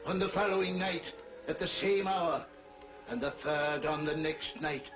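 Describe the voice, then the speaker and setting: A solemn ghost in a 1940s television drama. He speaks very slowly and portentously, in a high, mournful male voice. Music sits behind him on the old soundtrack. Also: rough recording. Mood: grave warning. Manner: slow, portentous, declamatory. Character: solemn, mournful ghost of a dead business partner.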